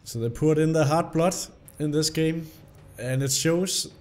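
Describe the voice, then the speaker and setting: A young man, slightly hoarse from illness, commenting on a game and reading its story text aloud. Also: a little high, measured pace. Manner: reads the story text aloud in an even narrating tone. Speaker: gameplay video host. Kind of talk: casual gameplay commentary.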